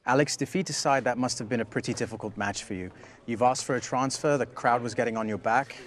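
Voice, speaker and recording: A man's voice: mid-range voice, fast talker, natural voice. A man playing a probing post-match sports interviewer in a video game cutscene.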